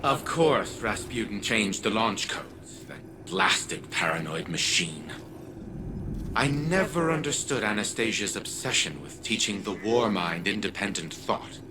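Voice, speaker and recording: A man's voice: lowish voice, expressive, a little slow, background noise. An actor playing a scheming older male scientist living in a machine body, speaking in a haughty, clipped way. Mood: scornful. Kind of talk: video game cutscene dialogue.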